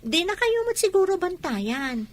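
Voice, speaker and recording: A woman's voice: very high-pitched, big pitch swings, moderate pace. A woman playing a sensible, cautioning young village woman in a comedy radio drama.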